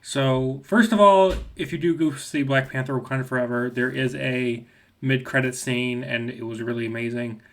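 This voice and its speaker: A man's voice: mid-range voice, moderate pace, a bit bright. Young guy with a cold, rambly movie review, lots of ums and restarts. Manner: rambling, with many fillers and restarts. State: slight cold, voice sounds off. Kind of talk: casual video review rant.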